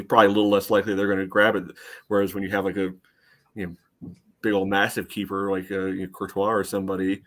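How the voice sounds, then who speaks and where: A man with an American accent and a deep voice breaks down soccer in casual podcast talk. His delivery stays level with little rise or fall. He thinks aloud, padding his points with "you know" as he works through them. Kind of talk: casual sports analysis.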